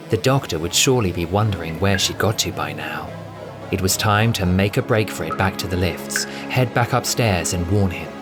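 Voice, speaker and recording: A man reading scripted fiction as a dramatic audiobook narrator. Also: low voice. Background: music.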